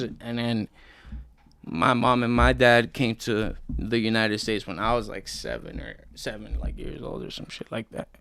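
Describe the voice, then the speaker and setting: A young man from Colombia, a guest telling his life story in a relaxed, slangy way with many fillers. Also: mid-range voice, smooth, no room sound. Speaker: interview guest, rapper. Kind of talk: casual interview talk.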